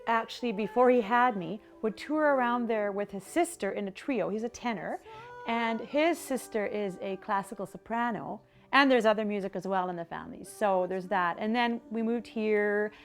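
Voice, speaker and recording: A woman's voice: medium pitch, moderate pace. A woman from Argentina, a singer and mother, speaking in an interview in an earnest, reflective way with some restarts.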